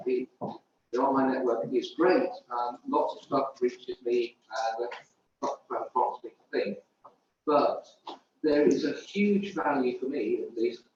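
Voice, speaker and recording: A man from the audience speaking in a halting way, repeating words at a public event. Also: mid-range voice, darkish tone, a little hiss or hum. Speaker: audience member. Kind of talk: open discussion at a public event. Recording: echoey hall, distant mic, dull.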